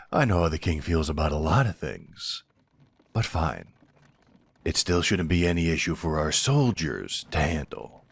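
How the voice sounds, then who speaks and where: Male actor as blunt, loyal werewolf lieutenant, fantasy audio drama. Very low, raspy voice, sardonic and defiant. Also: big pitch swings, unhurried, pauses often, heavily acted. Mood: casual confidence. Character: loyal, blunt, defiant werewolf right-hand man to a duke.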